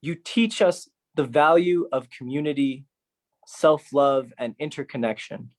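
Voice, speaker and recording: A man's voice: fairly high pitch, measured pace. A man delivering a theatrical spoken-word address in a solemn, declamatory manner.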